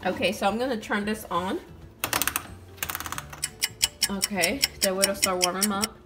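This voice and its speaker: A woman's voice: mid-range voice, measured pace. Chatty young woman over background music. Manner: chatty, sweet, talks to viewers and doll. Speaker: doll channel host. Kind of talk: casual doll-play cooking demo. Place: toy kitchen indoors.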